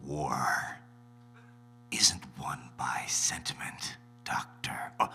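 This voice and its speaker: A man playing a sinister, pompous council official with a breathy rasp in a live comedic read. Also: extremely low pitch, extremely slow, pushed and bright, in a whisper, soft background music, full character voice. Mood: menacing, pompous. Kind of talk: live comedic table read in cartoon voices.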